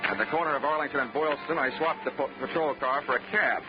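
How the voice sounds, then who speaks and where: Guy doing wry old-time radio detective, wisecracks, muffled sound, music loud behind. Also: medium pitch, quick pace, natural voice. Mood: wry narrating. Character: wry hard-boiled male investigator, fast-thinking, wisecracking. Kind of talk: 1940s radio detective narration and acting.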